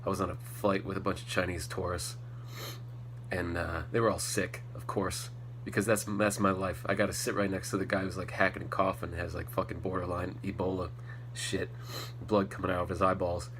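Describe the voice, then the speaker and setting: A low-pitched American man hosts a solo podcast in a loose, rambling, blunt style peppered with swearing. He sounds a little stuffed up from a cold. The audio is poor, with a faint hum and noise underneath. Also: little pitch movement, a little fast, fairly bright tone. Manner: blunt, ranting, heavy casual swearing. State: slightly congested from a cold. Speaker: podcast host. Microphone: faulty setup, not the intended microphone.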